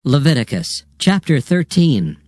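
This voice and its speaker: Slow, formal male scripture narrator. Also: fairly high pitch, very animated, darkish tone, no echo.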